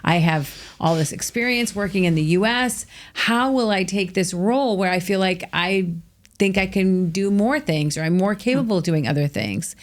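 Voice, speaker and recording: A middle-aged American woman telling her life story as a guest, energetic and emphatic, peppering her talk with "like". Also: medium pitch, moderate pace. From United States. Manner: energetic, emphatic, filler-heavy with frequent "like". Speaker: guest, author. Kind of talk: candid storytelling interview.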